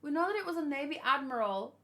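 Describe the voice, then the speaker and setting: A woman's voice: sits high, lively intonation, quick pace, a bit bright. A woman chatting casually and unscripted, thinking aloud and asking questions as she hosts a reaction video. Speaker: manga reaction host.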